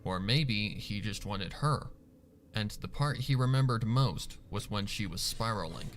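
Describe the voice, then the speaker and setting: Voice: mid-range voice, a little slow, a little hiss or hum. A narrator reading a scripted fan fiction story with music underneath.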